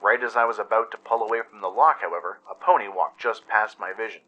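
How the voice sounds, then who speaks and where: A man's voice: on the low side, steady tone, fast talker, pushed and bright, dull audio. A man reading scripted first-person story narration for a radio play. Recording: music bed under produced voice-over. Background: music.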